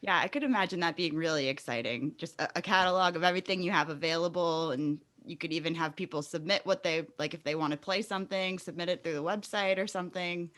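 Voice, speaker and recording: A man's voice: a little high, quick pace, few pauses, fairly bright tone. A man hosts a casual podcast interview. He is encouraging and affirming as he responds and asks his questions.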